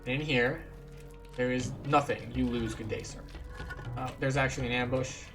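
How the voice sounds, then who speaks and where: A man's voice: medium pitch, steady tone, moderate pace. A man giving casual, dry-humored commentary while playing through a video game. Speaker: gameplay tour guide. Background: faint game music.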